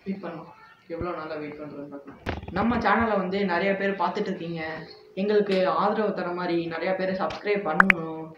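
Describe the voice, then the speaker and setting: A man's voice: high voice, fairly quick. A man hosting a vlog, chatting casually to viewers. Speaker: vlog host. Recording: roomy, distant, dull, clearly noisy home recording.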